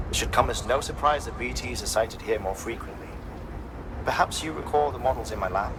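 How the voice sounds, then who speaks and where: An actor playing a courteous, erudite scientist who speaks formally, like a professor, in a video game. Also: male voice, on the low side, in a large hall, noisy background, lightly acted. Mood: polite, apologetic. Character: courteous, erudite male scientist, enthralled by extinction. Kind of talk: video game dialogue, lecturing.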